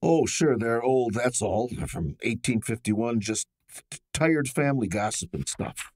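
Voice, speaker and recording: A man acts a shifty small-time crook from an old-time radio mystery. His medium-pitched voice jumps around in a lively way, recorded dry. He stammers nervously and talks in evasive slang. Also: unhurried, no echo. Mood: confessing, nervous.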